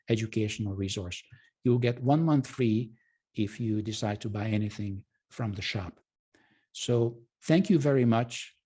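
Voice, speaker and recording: A man with a mellow, slightly husky voice presents a live webinar. He speaks informally and courteously, often pausing and correcting himself as he pitches to viewers. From Hungary. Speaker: presenter and trading educator.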